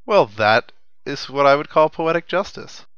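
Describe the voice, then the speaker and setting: A man's voice: a little high, lively intonation, moderate pace, dull audio, background noise. A man giving casual, chatty let's-play commentary on a video game, with wry asides. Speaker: let's player. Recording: game music behind the voice. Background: video game music.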